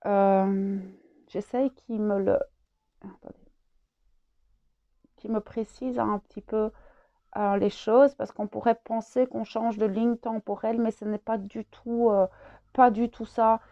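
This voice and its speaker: A woman's voice: a little high, fairly even intonation, takes it slowly, pauses often, warm tone, muffled recording. A French woman with a head cold, channeling a spiritual message, hesitant with many fillers and repeated words.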